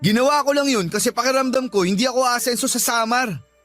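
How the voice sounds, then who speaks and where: High-voiced, grumbling young Filipino son. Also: male voice, fast, a bit bright, soft background music. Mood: resentful. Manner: plaintive, grumbling. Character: sullen, discouraged young working-class son and father. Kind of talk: Tagalog radio drama acting.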